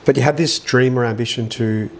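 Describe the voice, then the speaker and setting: A man's voice: mid-range voice, steady tone, brisk, vocal fry. A man hosting a podcast interview, upbeat and jokey, chatting casually with his guest. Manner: upbeat, jokey, lots of "yeah". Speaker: podcast host.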